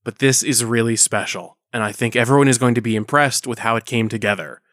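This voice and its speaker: A man's voice: mid-range voice, brisk, a bit bright, dry recording, clean studio sound, natural voice. A man playing a diplomatic, encouraging superhero theatre director in an audio drama. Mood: earnest, proud. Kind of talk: audio drama dialogue, speech to cast.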